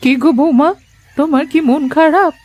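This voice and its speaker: A woman voicing a gentle, motherly old beggar woman in a moral-story cartoon, speaking in a folksy, coaxing way. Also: high-pitched, steady tone, moderate pace, natural voice. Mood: warm, coaxing. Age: older. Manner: folksy, coaxing, addresses others as her children.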